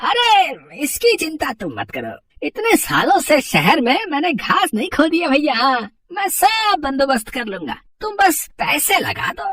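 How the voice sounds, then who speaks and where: A woman's voice: high-pitched, huge pitch swings, measured pace, few pauses, fairly bright tone, no echo, recorded from a distance, voice pushed up, full character voice. Woman doing a whiny, greedy male street vendor. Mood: persuasive, scheming. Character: greedy, scheming male pakora vendor, wheedling then arrogant.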